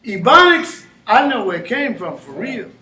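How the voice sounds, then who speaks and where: A man giving an informal lecture to a small live audience, animated and often questioning the listeners. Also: sits high, normal speed. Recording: echoey room, mic far off.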